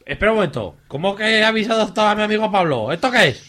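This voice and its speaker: A man with an Aragonese accent playing a drunk, excitable, foul-mouthed young man in a comic radio serial, slangy and blustering. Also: high-pitched, rapid-fire. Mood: confused. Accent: Aragonese Spanish. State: acting drunk.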